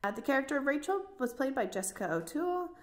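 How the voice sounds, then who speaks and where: A woman's voice: sits high, big pitch swings, fast. A woman presenting a podcast casually as host.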